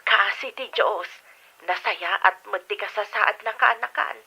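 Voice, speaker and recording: A woman's voice: mid-range voice, unhurried, creaky, bright, forceful tone, breathy edge, full character voice. A woman with an Ilocano accent playing a kindly, reassuring middle-aged aunt over a telephone in an audio drama. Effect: telephone filter. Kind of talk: radio drama acting. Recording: dull band-limited phone effect.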